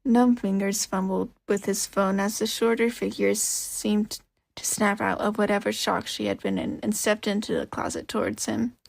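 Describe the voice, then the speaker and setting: A woman reading scripted fiction as an expressive audiobook-style narrator. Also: mid-range voice, normal speed, vocal fry, no room sound. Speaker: podfic narrator.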